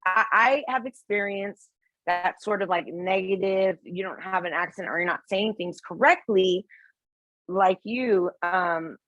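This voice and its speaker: A woman instructor teaching a test prep class, lively and asking the class questions as she explains with examples. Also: mid-range voice, unhurried, no echo, dull audio. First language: English.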